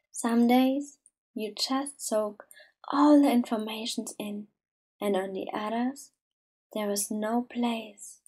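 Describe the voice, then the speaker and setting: Female meditation guide, higher-pitched but mellow, gentle and unhurried, soft to near-whisper. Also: breathy edge. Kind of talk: slow soothing guided meditation.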